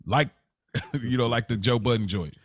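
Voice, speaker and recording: A man's voice: mid-range voice, normal speed, no room sound. A man co-hosting a podcast in casual chat, repeating phrases as he argues his point with examples. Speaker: podcast co-host.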